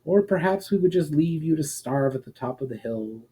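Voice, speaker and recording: A man voicing a proud, calm female elf leader in a fantasy storybook, with stately, measured and formal speech. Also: on the high side, slightly rough, voice pushed up. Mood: stern warning.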